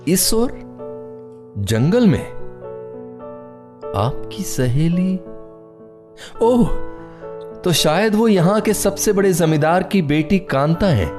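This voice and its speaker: A courteous, reclusive man speaks slowly and formally, with gentle irony. His intonation rises and falls expressively over clear instrumental music in a radio play. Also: high-pitched, lively intonation. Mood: courteous, ironic. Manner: measured, formal, gently ironic. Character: courteous, wry, reclusive man living in a forest hut. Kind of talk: Hindi radio play acting.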